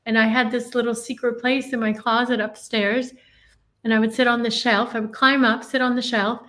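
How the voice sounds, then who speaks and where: Earnest woman, higher voice. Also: little pitch movement, normal speed, a bit bright. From Northern Ireland. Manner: earnest, reflective storytelling with many restarts. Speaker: interview guest. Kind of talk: personal life story in a podcast interview.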